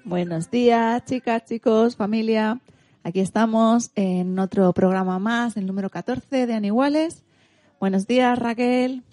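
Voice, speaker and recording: A woman's voice: a little high, unhurried, warm tone, no room sound. A woman with a Spain Spanish accent, presenting a children's radio show as its host.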